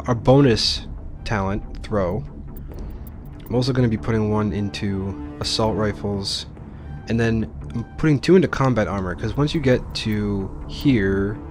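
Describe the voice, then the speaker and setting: A man giving casual, rambling and exclamatory commentary as host of a video game let's play. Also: on the low side. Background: game music and sound.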